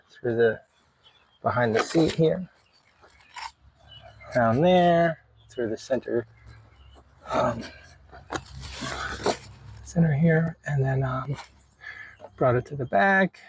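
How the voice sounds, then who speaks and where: A man hosting a casual hobby walkthrough, explaining things in a halting way with pauses mid-sentence. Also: mid-range voice, a little hiss or hum. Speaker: hobbyist video host. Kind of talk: casual hands-on walkthrough to camera. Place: in and around a parked vehicle.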